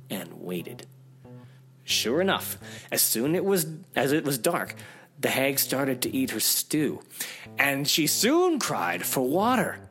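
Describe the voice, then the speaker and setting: A man's voice: mid-range voice, fairly bright tone. A man reading a fairy tale aloud as a storyteller, with dramatic, theatrical expression.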